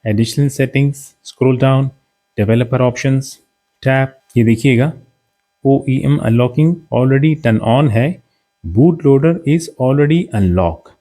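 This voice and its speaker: A man's voice: medium pitch, slow, dark tone. A man narrating a step-by-step how-to as a tech tutorial presenter, instructional, mixing English technical terms into his speech.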